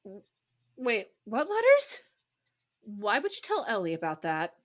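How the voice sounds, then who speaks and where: A woman hosting a casual book chat podcast, expressive and exasperated, swearing freely. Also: mid-range voice, unhurried. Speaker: podcast host. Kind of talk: casual chat about a novel.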